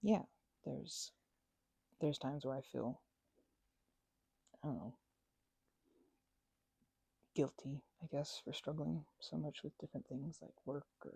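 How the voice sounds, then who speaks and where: A woman playing a goofy, caring, scatterbrained best friend in a casual ASMR roleplay, with soft, rambling, halting speech. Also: low-pitched, fairly even intonation, vocal fry, breathy edge, dry recording, heavily acted. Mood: earnest, subdued. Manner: rambling, halting, self-interrupting, giggly.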